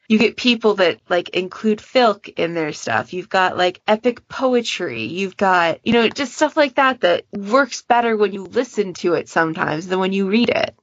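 A woman's voice: mid-range voice, no echo, dull audio. A woman in a casual panel chat, explaining things in an informal, chatty way. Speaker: podcast panelist and fan project moderator.

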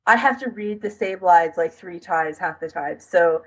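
Slightly husky woman podcast host. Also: lowish voice, moderate pace, a bit bright, dull audio. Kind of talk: casual explanatory podcast chat.

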